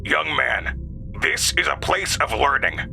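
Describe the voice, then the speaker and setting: Slow, stern, sinister old schoolmaster, acted by a man. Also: medium pitch, vocal fry, bright, forceful tone, soft background music, pitched above the performer's own voice, heavily acted, poor audio. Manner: formal, slow, threatening. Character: stern, sinister, ancient male school authority. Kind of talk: horror audio drama.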